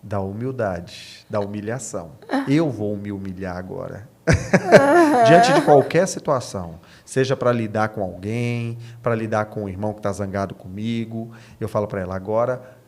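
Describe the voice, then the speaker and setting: A Brazilian man with a fairly low voice talks like a pastor in an interview. He explains in a conversational but preacherly way, repeating key phrases for emphasis. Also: expressive, normal speed, darkish tone. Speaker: pastor, podcast guest.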